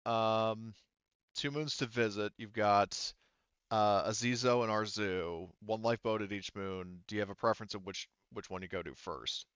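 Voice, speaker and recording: Man, game master, smooth low voice, halting improvised narration, casual, dry slightly muffled audio. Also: steady tone, a bit bright, no echo. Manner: halting, casual, thinking aloud.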